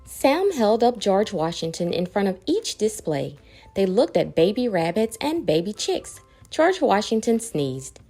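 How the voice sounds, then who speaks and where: A woman narrates a children's picture book. Her delivery is very animated, with pitch that rises and falls for dramatic emphasis. Music accompanies the reading. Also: unhurried. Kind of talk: expressive storybook read-aloud.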